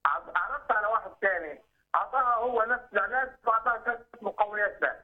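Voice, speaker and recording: High-pitched Egyptian man, husky, on the phone. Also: very fast. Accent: Egyptian Arabic. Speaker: caller seeking advice. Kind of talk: phone consultation. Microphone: telephone. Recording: muffled lo-fi telephone line.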